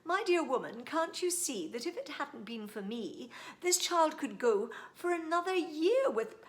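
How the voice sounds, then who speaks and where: A woman's voice: sits high, big pitch swings, a little slow, voice pushed up. Woman playing a gleeful pompous old-style dentist.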